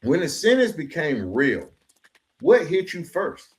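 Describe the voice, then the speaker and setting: A man's voice: fairly high pitch, huge pitch swings, a little slow, slight background noise. Reflective male podcast host. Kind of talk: reflective long-form interview.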